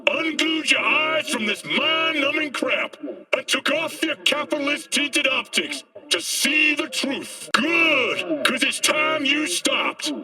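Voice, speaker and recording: A man's voice: very high-pitched, expressive, far from the mic, over music, exaggerated voice, poor audio. A man playing a fiery, paranoid anti-corporate street prophet in a video game, haranguing people in a declamatory protest rant. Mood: rousing, defiant. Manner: declamatory, haranguing, drawn-out emphasis. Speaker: street agitator, conspiracy preacher. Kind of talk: ranting protest speech, video game acting.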